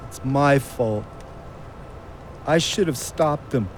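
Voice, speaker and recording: A man's voice: mid-range voice, slow, a little hiss or hum, close to an everyday voice. An actor in an audio drama playing an anguished, guilt-ridden young man, bitter and blunt in his slangy talk. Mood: subdued guilt.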